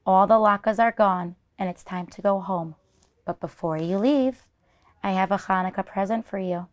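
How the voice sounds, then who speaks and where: A kindly elderly grandmother from a children's storybook, played by a woman reader. She is chatty and fondly hospitable. She fusses over her company with exclamations, in a medium-pitched voice. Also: moderate pace, lightly acted. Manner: fussing, exclamatory.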